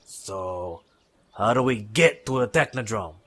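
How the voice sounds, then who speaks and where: Man acting brash young mutant turtle, cartoon, sarcastic, rough husky rasp, sharp edge. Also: fairly low pitch, lively intonation, unhurried. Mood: skeptical. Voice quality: raspy. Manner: sarcastic, punchy. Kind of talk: comic-dub cartoon acting.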